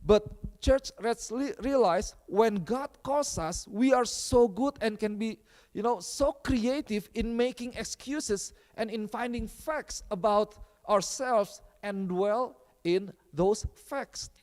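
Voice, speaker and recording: A man with an Indonesian accent preaching a sermon in a church, mixing languages and pausing on fillers. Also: high-pitched, darkish tone. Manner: switches between English and Indonesian, many fillers. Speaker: pastor. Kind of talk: bilingual sermon. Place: church hall. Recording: long hall reverb.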